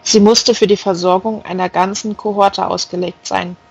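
A woman's voice: medium pitch, steady tone, normal speed, vocal fry, slightly rough, faint music behind. A woman reading a novel aloud as scripted narration, on a slightly dull recording. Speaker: audiobook reader.

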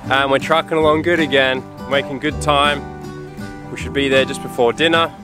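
A man's voice: medium pitch, steady tone, moderate pace. A man in his forties, a sailing dad, talking to an action camera out on deck at sea and explaining each step plainly. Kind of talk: casual vlog narration to camera. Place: outdoors on a sailboat at sea. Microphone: action camera at arm's length. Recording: music bed under voice.

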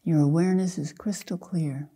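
Casting: older female meditation teacher, very dark, mellow tone, soft and husky, gentle measured phrasing with long pauses. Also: deep voice, fairly even intonation. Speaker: Zen teacher leading a guided meditation. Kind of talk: slow guided meditation.

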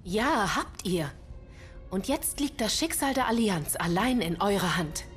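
An actress playing an earnest, wary young starship pilot in a game dub, concerned and sincere. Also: female voice, medium pitch, animated, measured pace, soft background music, close to an everyday voice. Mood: troubled, grave. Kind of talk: dubbed video game cinematic acting.

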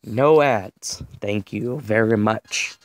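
A man's voice: on the low side, slow pace. A young man giving casual mobile gameplay commentary to his viewers, his voice strained and fading, chatty and easily frustrated with the game. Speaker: gaming video host.

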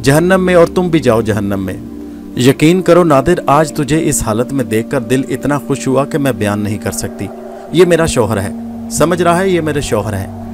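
A man's voice: medium pitch, expressive, natural voice. A man voicing a furious young woman who hurls bitter, scornful insults at her father's killer, with music behind him. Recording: background music.

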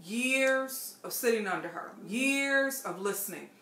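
A middle-aged woman with a bright, forward voice hosts a faith book talk from a living room. She talks playfully and with emphasis, leaning on repetition. The room adds a clear echo. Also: on the high side, animated, measured pace. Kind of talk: casual church book talk. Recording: roomy echo.

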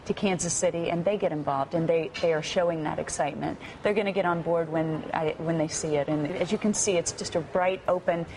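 A woman's voice: low-pitched, fairly even intonation, fairly quick, few pauses, background noise. A middle-aged woman from the United States answering questions in a TV news interview, earnest and a bit hesitant, with fillers. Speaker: guest, activist. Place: TV news studio.

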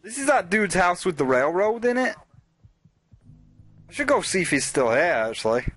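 A man's voice: high-pitched, big pitch swings, moderate pace, a bit bright. A man hosting a let's play, rambling casually and swearing as he talks through the game and to his chat. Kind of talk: casual gameplay commentary.

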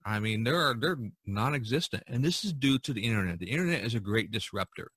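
A man's voice: medium pitch, lively intonation, a little fast, fairly bright tone, slightly rough, no room sound. A middle-aged man hosting a podcast, giving conversational commentary and repeating his points for emphasis. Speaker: podcast host.

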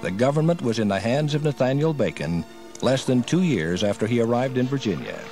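Guy narrating a history story, mid-pitched voice, music underneath. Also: very animated, a little fast, warm tone.

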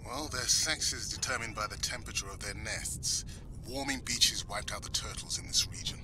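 A man's voice: on the low side, normal speed, background noise, lightly acted. An actor playing a large, passionate, brooding man who works as a conservation scientist in a video game. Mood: serious, measured. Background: music.

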